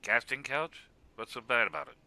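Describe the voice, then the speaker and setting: Man voicing a sharp, forceful hot-headed cartoon Viking. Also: medium pitch, sing-song, a little fast. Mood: exasperated. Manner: blunt, exasperated. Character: hot-tempered, sarcastic Viking man, exasperated by his rival. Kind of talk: comedic cartoon voice acting.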